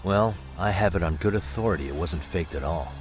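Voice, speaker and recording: An actor playing a calm, persuasive detective in an English game dub, measured and politely insistent. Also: male voice, deep voice, soft background music, close to an everyday voice. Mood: firm, persuasive. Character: calm, persuasive male detective pressing for information. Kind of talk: dramatic game cutscene dialogue.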